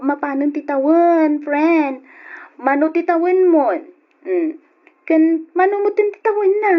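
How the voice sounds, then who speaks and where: An actress playing an excitable, teasing, nosy woman in an old Ilocano radio drama, exclaiming freely in an Ilocano accent. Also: high-pitched, muffled recording. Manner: exclamatory, laughing delivery with big swoops.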